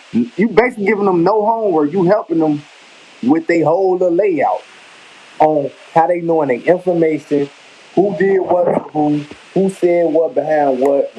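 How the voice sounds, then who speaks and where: A man's voice: fairly high pitch, mellow, husky, low-quality recording. A man from Chicago with a Chicago accent, talking casually on a live vlog in a rambling, emphatic way. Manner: rambling, emphatic, slangy, repeats himself. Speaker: vlogger. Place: home kitchen.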